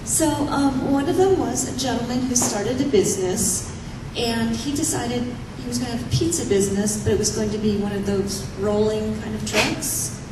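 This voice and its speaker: A woman with a low voice, chatting through anecdotes to an audience in a room and laughing often as she talks. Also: normal speed. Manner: chatty, laughs often while telling stories. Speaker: newspaper writer giving a talk. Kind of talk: casual anecdotal speech to an audience. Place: event room. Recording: echoey room, from a distance, noisy.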